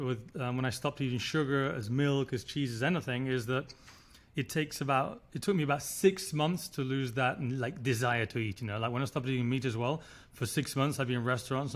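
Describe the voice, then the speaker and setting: A man, a resort founder telling his story in a rambling, casual way. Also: medium pitch. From Spain. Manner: rambling, many restarts and filler words. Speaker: resort founder, podcast guest.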